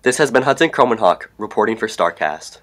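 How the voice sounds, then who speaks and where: Teen male student reporter, lowish voice, scripted news read. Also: animated, fairly quick, a bit bright. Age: teens. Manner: reads from a script.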